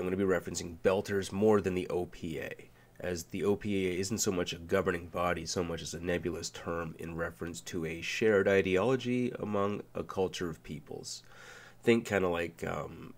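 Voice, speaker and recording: Male host, deep and gravelly, nasal from a cold, relaxed and a bit rambling. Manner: relaxed, loose with fillers and restarts. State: nasal from a sinus flu. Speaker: video essay host. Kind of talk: casual explainer narration.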